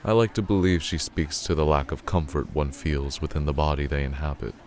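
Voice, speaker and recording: A man playing a brooding, bookish philosopher in an audio drama, halting and deliberate as he muses. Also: extremely low pitch, fairly even intonation, a little mellow.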